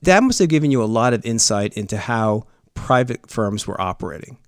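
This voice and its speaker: A man in his sixties hosting a podcast, reading a formal, measured introduction and asking questions. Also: medium pitch, very animated, clean tone, no room sound, clean studio sound. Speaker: podcast host. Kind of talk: scripted intro and interview questions.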